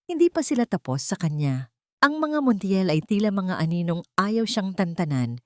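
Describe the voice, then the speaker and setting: A woman's voice: medium pitch, sing-song, moderate pace, a little mellow, no echo, studio quality. A woman reading a scripted dramatic story as an audiobook narrator.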